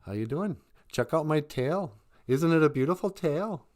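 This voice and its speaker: A man voicing a vain, boastful male beaver who brags loudly in a high voice. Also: lively intonation, moderate pace, natural voice. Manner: bragging, loud and high.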